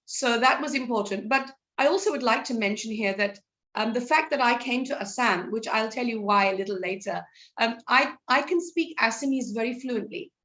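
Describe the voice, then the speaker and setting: A woman's voice: fairly high pitch, a bit bright. Reflective British-accented woman in her fifties. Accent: British English. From England. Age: 50s. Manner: earnest, reflective, with frequent fillers and restarts. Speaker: psychology counsellor, guest. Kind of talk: conversational interview.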